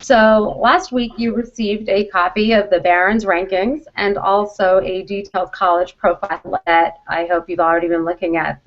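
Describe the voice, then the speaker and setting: Lady walking students through stuff on a conference call, pretty directive, sound a bit lo-fi. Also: mid-range voice. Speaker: college program vice president, presenter. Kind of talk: instructional presentation to students. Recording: dull conference call audio.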